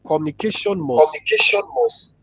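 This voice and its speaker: A man with a husky voice speaks haltingly and repeats himself in a live online panel discussion. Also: sits high, huge pitch swings, dry recording. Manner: halting, repeats phrases as he goes. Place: online video call. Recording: doubled by delayed feedback on video call.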